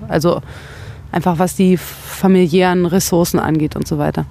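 A woman's voice: lowish voice, fairly even intonation, moderate pace, vocal fry, mellow. A woman from West Berlin speaking as an interview guest, reflective and opinionated, often searching for words in casual conversation. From West Berlin, Germany. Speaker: interview guest, singer-songwriter.

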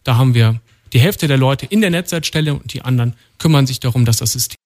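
A man from North Rhine-Westphalia, a department head being interviewed in a radio studio, explaining things in a calm, matter-of-fact way. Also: medium pitch, fast talker. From North Rhine-Westphalia, Germany.

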